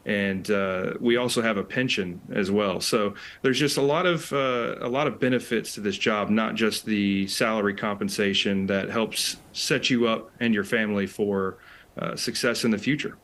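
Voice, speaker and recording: An American man speaks as an expert guest in an interview. His voice is a bit low. He explains things in a conversational way. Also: moderate pace, fairly bright tone. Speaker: interview guest, air traffic control specialist.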